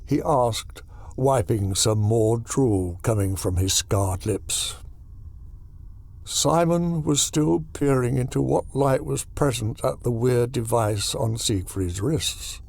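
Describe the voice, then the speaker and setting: A man narrating a horror audiobook in a deliberate, dramatic storytelling style. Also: mid-range voice, creaky, darkish tone.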